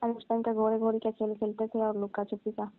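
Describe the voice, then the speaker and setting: A woman's voice: on the high side, monotone, fast talker, faint music behind, lightly acted. A young woman playing a coy cousin who teases and questions in a casual phone-call drama, over narrow, tinny phone audio. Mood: teasing.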